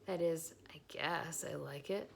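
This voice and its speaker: A woman's voice: sits low, unhurried, creaky. A naive little storybook piglet boy, as a woman reader plays him. He sounds lonely and hesitant, with a plaintive, uncertain way of speaking. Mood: unsure. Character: naive young male piglet, lonely and unsure.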